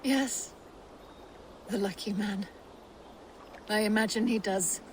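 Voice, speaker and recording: A woman's voice: medium pitch, slow, slight background noise, natural voice. An actress playing a grieving, anguished wife mourning her fallen warrior in a game drama. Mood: sorrowful. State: anguished, tearful. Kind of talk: dramatic game cutscene acting.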